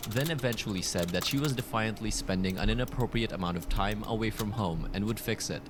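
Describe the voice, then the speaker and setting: A man's voice: on the low side, a little fast. A man reading written personal posts aloud as a scripted narrator. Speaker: narrator of online relationship stories. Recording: clearly noisy background.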